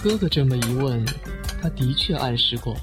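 A man plays a tycoon's middle-aged brother in an audio drama. He explains things in a measured way at an average pitch, with faint music and tableware clinks around him. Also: expressive, lightly acted. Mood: reflective.

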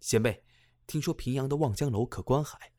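A man's voice: mid-range voice, moderate pace, mellow, close to an everyday voice. An actor playing a courteous young male magistrate in a Chinese costume audio drama, formal and deferential. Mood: courteous, apologetic.

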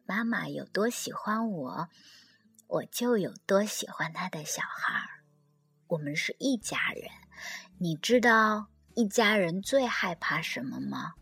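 A woman voicing a very old male golden retriever in a storybook, sly but resigned, with a breathy, whispery, slow and wistful delivery. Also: on the low side, expressive, fairly bright tone, faint music behind, voice pushed down, heavily acted.

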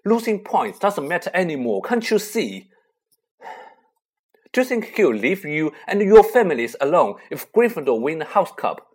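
Reader doing a fired-up schoolboy, loud and high, husky edge. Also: expressive, moderate pace. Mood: defiant. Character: desperate determined boy wizard, defiant.

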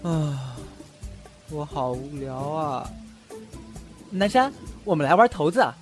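A performer playing a cocky, playful young male fox spirit in a Chinese costume audio drama, brash and expressive. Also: mid-range voice, slow. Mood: playful, bored. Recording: loud music bed behind voice.

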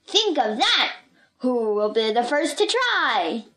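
Woman putting on a grandiose, animated circus ringmaster. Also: on the high side, exaggerated voice. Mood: grand, showy. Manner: grandiose announcing with drawn-out words. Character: bombastic male circus ringmaster, showy and easily enraged.

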